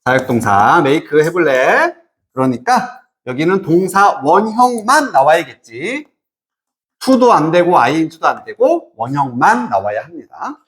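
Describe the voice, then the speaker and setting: High-pitched, lively male English teacher. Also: unhurried. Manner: asks and answers own questions, checking understanding; emphatic, informal teacherly address. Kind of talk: explanatory exam-prep lecture.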